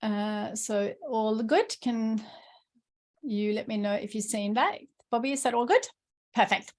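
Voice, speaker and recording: A woman's voice: fairly high pitch, measured pace. A woman from Sweden, a native Swedish speaker with a Swedish accent, chattily and enthusiastically leading an informal online workshop. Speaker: workshop presenter, entrepreneur and coach.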